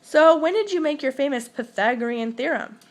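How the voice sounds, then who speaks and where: A woman's voice: high voice, animated, normal speed. A teenage girl reading prepared interview questions plainly for a school project.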